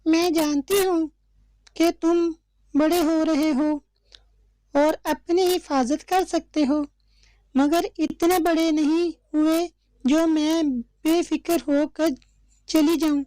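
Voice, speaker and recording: A woman's voice: sits high, steady tone, takes it slowly, warm tone, no room sound. A woman voicing a watchful, caring mother bird who speaks instructively in a cartoon story. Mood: worried, protective. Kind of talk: slow cartoon story dialogue.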